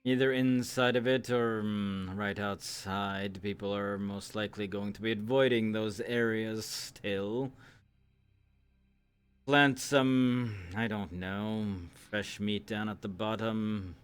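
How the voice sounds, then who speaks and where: A formal leader of a monster hunt in a roleplay game, played by a man. His voice is low and smooth, and he chooses his words with courteous, measured pauses. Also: fairly even intonation. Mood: measured, scheming. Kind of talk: improvised roleplay in a virtual-world game.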